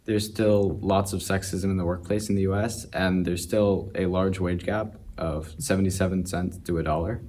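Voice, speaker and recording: Matter-of-fact deep-voiced teen boy. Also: fairly even intonation, normal speed, hardly any pauses, darkish tone, a little hiss or hum. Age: teens. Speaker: student. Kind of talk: opinion statement in a discussion.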